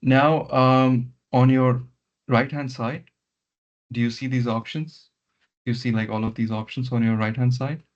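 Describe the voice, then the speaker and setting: Muffled man leading a software walkthrough. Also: mid-range voice, steady tone, a little slow. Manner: instructional, repeats words and instructions. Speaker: software trainer leading a session. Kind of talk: step-by-step online software walkthrough. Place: online meeting call. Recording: muffled lo-fi online meeting audio.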